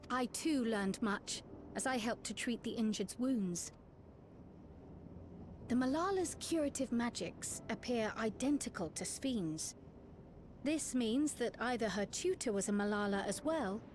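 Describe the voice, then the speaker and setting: A woman's voice: fairly high pitch, slight background noise. A woman playing a precise, studious scholar in a fantasy game, articulate and formal in an academic way. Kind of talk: scripted game cutscene acting. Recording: clear music behind the voice. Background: music.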